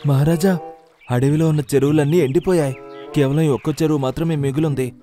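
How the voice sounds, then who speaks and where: A man's voice: fairly high pitch, steady tone, brisk, warm tone, faint music behind, lightly acted. A man voicing a wicked, scheming leopard minister with an insinuating manner in a children's cartoon story.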